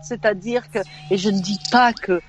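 A woman's voice: mid-range voice, normal speed, soft background music. Frank woman in her fifties calling in. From Belgium. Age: 50s. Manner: frank, opinionated, with frequent restarts. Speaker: radio caller. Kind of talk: call-in radio chat. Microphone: phone.

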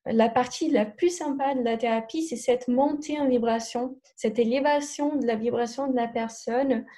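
A Brazilian woman speaking French with a Brazilian accent, a therapist guest in a podcast interview, talking hesitantly with many pauses and restarts. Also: a little high, steady tone, warm tone. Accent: Brazilian Portuguese.

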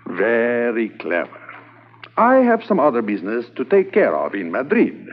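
A man's voice: medium pitch, huge pitch swings, normal speed. A man playing a confident, businesslike undercover police corporal in a 1950s radio drama, on a muffled, hissy transcription. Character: undercover Mountie corporal posing as a New York buyer.

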